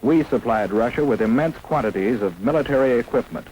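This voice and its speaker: A man's voice: medium pitch, lively intonation, fairly quick, darkish tone. An American man reads scripted mid-century propaganda documentary narration in a solemn, emphatic, declamatory manner. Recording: noisy old film soundtrack.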